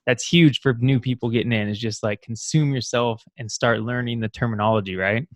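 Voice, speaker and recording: Relaxed male podcast host, dry sound. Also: medium pitch, a little fast, dry recording. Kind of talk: casual interview chat.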